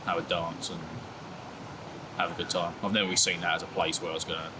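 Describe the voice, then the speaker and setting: Deep-voiced young man, loud background hum. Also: level tone, fast talker. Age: 20s. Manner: laid-back, self-mocking, hedging with fillers. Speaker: interviewee. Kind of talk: candid casual chat.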